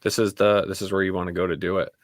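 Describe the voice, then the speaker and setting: A man's voice: low-pitched, little pitch movement, fast, dry recording, studio quality. A man hosting a casual interview show, chatting informally with a guest. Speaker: show host.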